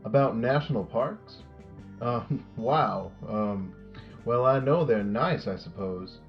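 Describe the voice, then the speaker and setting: An actor playing a patient, skeptical middle-aged late-night radio host in an audio drama, with a measured, dry delivery. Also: male voice, medium pitch, expressive. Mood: patient, bemused. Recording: dull lo-fi radio sound with music.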